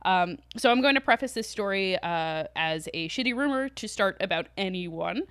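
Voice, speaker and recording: A young woman tells stories as a podcast co-host in an informal, chatty style. Her pitch is average for a woman and she swears freely. The recording is very dry. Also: animated, normal speed, a bit bright, clean tone, dry recording. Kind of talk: casual storytelling chat.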